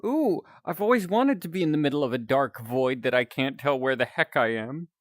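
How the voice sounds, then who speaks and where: A man with a deep, announcer-like voice, chatting casually with viewers while he plays a game. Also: a little fast, no echo, clean studio sound. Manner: relaxed, rambling, chatting to viewers. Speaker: streamer.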